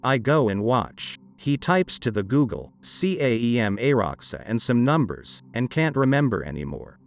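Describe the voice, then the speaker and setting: Man narrating creepy stories, lively pitch. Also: moderate pace, gravelly. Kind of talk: scripted narration of horror greentext stories. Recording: dark ambient music behind. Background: dark horror background music.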